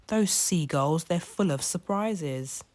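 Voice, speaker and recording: A woman's voice: low voice, animated, takes it slowly, darkish tone. A woman voicing a kindly, reassuring old male lighthouse keeper in a storybook, with a musing manner. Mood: amazed.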